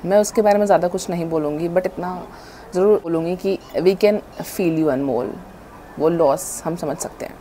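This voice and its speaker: A woman's voice: fairly low pitch, moderate pace, warm tone, a little hiss or hum. A woman in her thirties chatting casually to camera as a vlogger, mixing Hindi and English, recorded with a lapel mic on a home patio. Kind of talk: casual vlog chat. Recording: background music.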